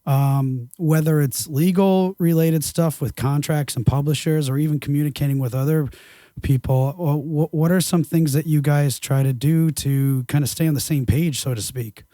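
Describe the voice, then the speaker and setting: A man's voice: a little high, moderate pace, no echo. A man hosting an interview podcast, talking loosely with fillers and restarts. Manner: rambling, relaxed, with filler words. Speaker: podcast host. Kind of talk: casual interview talk.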